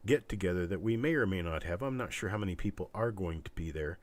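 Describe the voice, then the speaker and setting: Deep, scratchy, congested male livestream host. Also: expressive, fast talker. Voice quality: congested, stuffed-up nose. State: recovering from illness, weakened voice. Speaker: host of a live online coin auction. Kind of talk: casual livestream chat with viewers.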